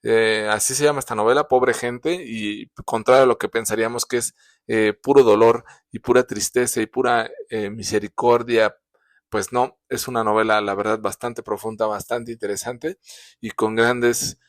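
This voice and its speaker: A man's voice: medium pitch, fairly even intonation, normal speed, no room sound, clean studio sound. A man with a sore throat, hosting a casual book review, talking with many fillers and restarts. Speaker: book reviewer, show host.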